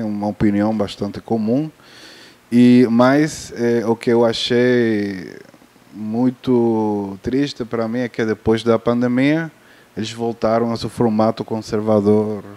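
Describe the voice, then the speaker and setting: Man, Cuban accent, Spanish native speaker; mellow, halting panel talk with many restarts. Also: fairly low pitch. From Cuba. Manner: hesitant, many fillers and restarts. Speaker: festival panelist on film distribution.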